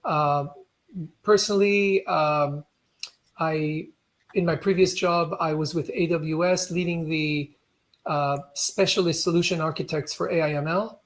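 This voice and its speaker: A man introducing himself on a tech panel, speaking with many fillers and pauses. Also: on the high side, fairly even intonation.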